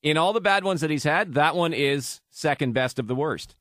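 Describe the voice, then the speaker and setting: Male sports radio host, slightly high voice, animated delivery, joking and wry, loose studio banter. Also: moderate pace, dry recording. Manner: wry, joking, mock-serious.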